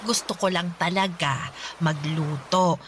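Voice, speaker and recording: An actress as a low-voiced, hospitable middle-aged mother. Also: steady tone, a little slow, a little hiss or hum, lightly acted. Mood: modest, pleased. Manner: motherly, chuckling, modest. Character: warm, hospitable middle-aged mother who loves cooking. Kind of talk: radio-style love story drama acting.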